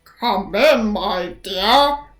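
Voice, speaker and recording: Brief: storybook villain, woman voicing a hungry male wolf, low sly growl, roomy echo. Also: lively intonation, extremely slow, faint music behind. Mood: croaking.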